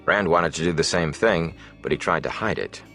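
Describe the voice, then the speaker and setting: A man with a deep voice narrates a fantasy novel. His delivery is scripted audiobook storytelling. Soft music plays faintly behind him. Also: moderate pace.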